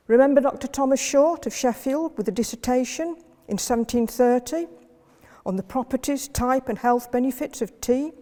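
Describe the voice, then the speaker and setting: A woman historian giving a public lecture in a measured, list-like way with occasional fillers. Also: mid-range voice, mellow, in a large hall.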